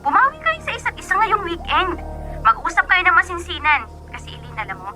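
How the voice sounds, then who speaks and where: A woman acting a teasing, bossy young female friend who gives blunt advice in a Filipino radio drama, with animated scolding banter. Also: very high-pitched, fairly quick. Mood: coaxing. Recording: prominent music behind voice.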